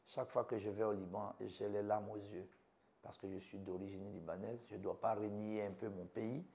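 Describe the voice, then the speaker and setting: Gravelly man in his fifties. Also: fairly low pitch. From Côte d'Ivoire. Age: 50s. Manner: earnest, proud, lists things one by one. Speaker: physician interviewee. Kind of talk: personal interview testimony.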